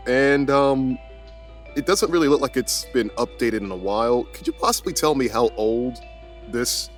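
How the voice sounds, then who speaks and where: A man's voice: mid-range voice, moderate pace. A man playing in a casual tabletop roleplay podcast, hesitant and often repeating and correcting his words. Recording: music under the voice.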